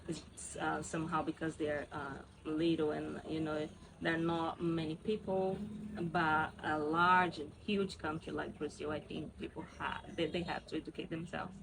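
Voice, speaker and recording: A woman's voice: sits low, flat delivery, normal speed, creaky, noisy background. Curious woman hosting an interview. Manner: curious, laughs often, hesitant phrasing with fillers. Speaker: podcast host. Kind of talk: interviewing a guest.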